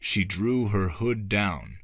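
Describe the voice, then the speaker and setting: A man reading a fantasy novel as a dramatic audiobook narrator, with measured, deliberate phrasing and dramatic pauses. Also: on the low side, animated, a bit bright, slight background noise.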